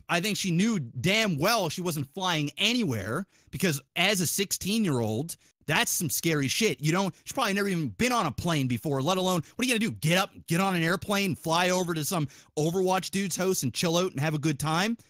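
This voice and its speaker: A man with a distinctly high voice holds forth on a podcast in a dry-sounding recording. His delivery is casual and animated, wandering through arguments with plenty of swearing and strong opinion. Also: brisk, dry recording. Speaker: podcast host.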